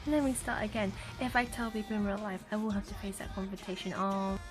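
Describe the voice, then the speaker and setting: A young woman with a slightly croaky voice from a sore throat, talking earnestly to camera in a casual vlog, full of "you know" fillers. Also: mid-range voice, fairly even intonation, brisk. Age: young adult. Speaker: vlogger. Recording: music playing in the background.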